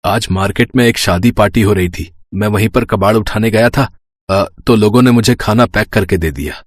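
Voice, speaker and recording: A man playing a poor, caring village working man in a cartoon story, earnest and plaintive, with a husky voice. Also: on the low side, moderate pace, no echo, clean studio sound, natural voice. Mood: pleased. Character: poor, self-pitying, caring young rickshaw man.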